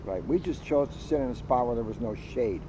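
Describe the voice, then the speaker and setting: A man's voice: mid-range voice, expressive, a little fast. A man, a police officer co-hosting a story time outdoors, putting in short casual remarks. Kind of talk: casual chat, short interjections. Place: outdoors in a sunny spot by a school. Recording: dull, noisy outdoor recording.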